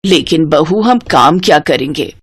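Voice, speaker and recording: A woman voicing an affectionate, approving elderly mother-in-law in a moral cartoon story, speaking slowly and emphatically. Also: low voice, lively intonation, husky, voice pushed down, exaggerated voice. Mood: concerned, approving.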